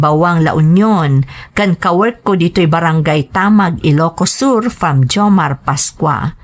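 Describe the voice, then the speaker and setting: A woman with a Filipino accent hosting and narrating, reading greetings and listeners' letters from a script. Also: fairly low pitch. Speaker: host and narrator of a drama channel.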